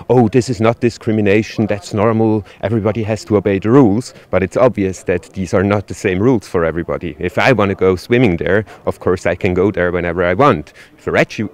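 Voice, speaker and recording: A man answering questions at a street protest, arguing his points firmly with frequent restarts. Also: lowish voice. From Switzerland. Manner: articulate, argumentative, with frequent "uh" restarts. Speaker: demonstrator interviewed at a protest.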